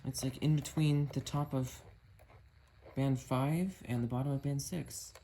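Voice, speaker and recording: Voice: medium pitch, steady tone, a little slow, dark tone. A vlogger thinking aloud in a casual, musing way while demonstrating a gadget hands-on. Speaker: vlogger reviewing a radio. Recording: noisy home video, radio static behind. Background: shortwave radio hiss and whining.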